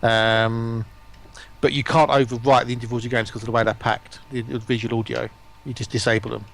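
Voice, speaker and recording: A man with a medium-pitched voice chats as a guest on a gaming livestream. His delivery is casual, conversational banter. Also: little pitch movement, a little fast, creaky, fairly bright tone.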